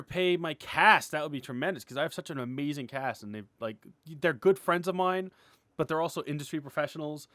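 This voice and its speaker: A man's voice: fairly high pitch, fairly bright tone, dry recording. Casual, enthusiastic man in a dry recording. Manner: enthusiastic, gushing; rambling, informal, heavy use of "like". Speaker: indie animator, writer and series creator. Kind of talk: casual, unscripted update vlog.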